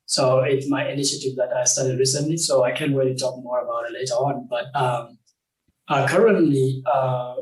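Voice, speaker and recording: A young man from South Sudan, a game company founder, talking as an interview guest in a halting way, with many fillers and restarts. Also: medium pitch, steady tone, echoey room, distant mic, poor audio. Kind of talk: casual interview conversation.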